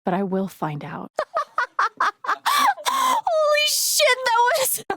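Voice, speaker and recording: An actress playing a friendly, wry and earnest young woman in a video game, talking in a casual, gently teasing way. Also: very high-pitched, huge pitch swings, extremely slow, heavy background noise, exaggerated voice. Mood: earnest, determined, then cracking up, giddy. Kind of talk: naturalistic video game dialogue acting.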